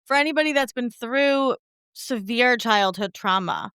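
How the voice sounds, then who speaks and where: A woman hosts an interview podcast in a bright, forceful voice. Her sound is very clean and dry, with no room around it. She talks conversationally and is openly appreciative and effusive toward her guest. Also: on the high side, lively intonation, unhurried, clean tone, dry recording. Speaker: podcast host.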